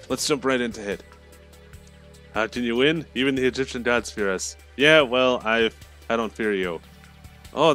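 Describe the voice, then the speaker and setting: Bright-voiced man streaming a game. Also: mid-range voice. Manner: teasing, swears freely. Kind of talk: casual chat while livestreaming a game. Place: live game stream, music behind.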